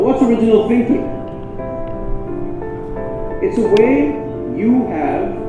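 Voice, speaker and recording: A man with a booming voice giving a conference keynote, telling a story with dramatic pauses and emphatic repetition. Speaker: conference speaker. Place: conference hall. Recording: echoey hall from far off, dull sound, music behind.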